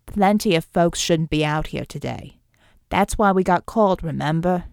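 A woman's voice: on the low side, expressive, normal speed, no echo, studio quality, pitched below the performer's own voice. Woman voicing a breezy, cocky male flying hero. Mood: confident. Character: cheerful, cocky flying male operative, warm and teasing.

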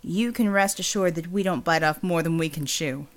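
A woman voicing a smug male mercenary recruiter in an audiobook, with insinuating, smooth sales patter. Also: fairly low pitch, normal speed, voice pushed down, lightly acted.

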